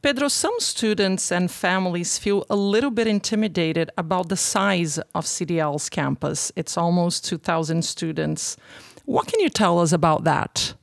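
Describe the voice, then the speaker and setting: A woman's voice: lowish voice, lively intonation, normal speed, vocal fry, dry recording, studio quality. A woman hosting an interview in a conversational style, responding to her guest and asking questions. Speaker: interviewer, podcast host.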